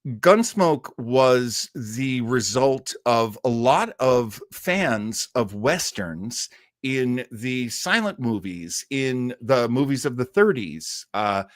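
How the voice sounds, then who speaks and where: A man's voice: mid-range voice, no room sound, clean studio sound. A middle-aged man hosting an old-time radio livestream in a casual, chatty, rambling way, effusive and self-deprecating.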